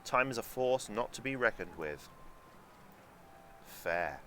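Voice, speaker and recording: A man's voice: on the low side, lively intonation, slow pace, pushed and bright, a little hiss or hum. A young man streaming a theme park game at home, chatting with his chat in a sarcastic, rambling way and swearing now and then. German is his first language. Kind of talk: casual live-stream commentary.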